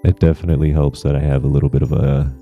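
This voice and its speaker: A man with a deep voice, rambling softly through a relaxed gameplay commentary as a channel host. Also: quick pace, dull audio, soft background music. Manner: rambling, soft-spoken, reflective.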